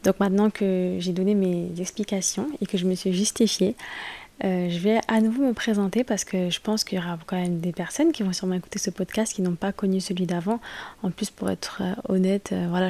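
A young woman in her late twenties talks into a podcast microphone in a loose solo monologue. She is spontaneous, hesitates often, and keeps restarting her sentences. Her voice sits in an ordinary middle pitch. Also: warm tone. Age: late 20s. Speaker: podcast host.

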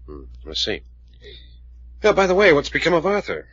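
An actor playing a courteous, inquisitive gentleman adventurer with a clipped manner in an old radio drama. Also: on the high side, huge pitch swings, normal speed, breathy edge, dry recording, heavily acted. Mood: affable. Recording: muffled vintage radio recording.

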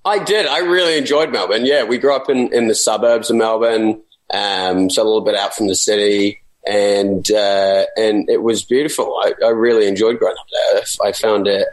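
A young man from England, a guest actor in a conversational interview, speaking in a relaxed, hesitant way with many fillers and restarts. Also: fairly low pitch, poor audio.

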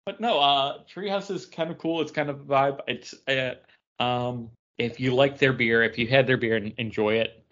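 A man's voice: medium pitch, bright, forceful tone, dull audio. A man chatting casually as a podcast co-host, telling stories in a rambling, meandering way. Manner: rambling storyteller. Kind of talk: casual chat.

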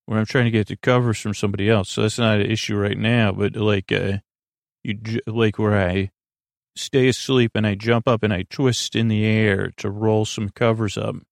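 A male podcast host with a creaky, gravelly voice, recorded close and dry. He talks casually in a halting, wandering way, drifting into tangents as he eases listeners toward bedtime. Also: on the low side, little pitch movement, dry recording. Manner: meandering, halting, trails off into tangents. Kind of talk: rambling bedtime podcast intro, casual monologue.

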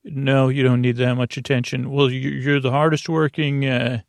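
A man hosting a bedtime podcast in a creaky, lulling voice, meandering and halting as he repeats himself and drifts into tangents. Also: medium pitch, flat delivery, dry recording. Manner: soothing, lulling. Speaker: podcast host. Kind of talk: rambling bedtime sleep podcast monologue.

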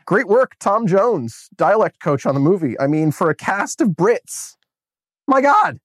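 A man's voice: high-pitched, very animated, unhurried, a bit bright, no echo, studio quality. An American man co-hosting a podcast, chatting casually about a film with enthusiasm and plenty of fillers and restarts. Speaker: podcast co-host. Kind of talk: casual movie review chat.

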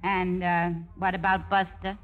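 A woman's voice: lowish voice, level tone, a little slow, close to an everyday voice. An actress playing a tough, big-hearted, wisecracking woman sidekick with blunt, slangy delivery in a 1950s radio drama. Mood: blunt, caring. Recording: tinny 1950s radio broadcast.

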